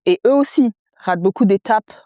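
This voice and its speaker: Smooth-voiced French mother, hesitant and thoughtful. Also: mid-range voice, a little fast, warm tone, dry recording, clean studio sound. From France. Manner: thoughtful, hesitant with many fillers. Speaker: podcast guest. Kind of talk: casual interview chat.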